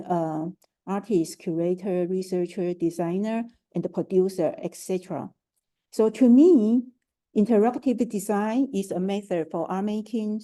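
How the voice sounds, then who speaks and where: Slow, dark-voiced Taiwanese woman lecturing. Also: mid-range voice. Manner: careful and halting, with frequent hesitations and restarts. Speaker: artist, curator and art educator. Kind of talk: prepared talk at an online forum. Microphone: video call.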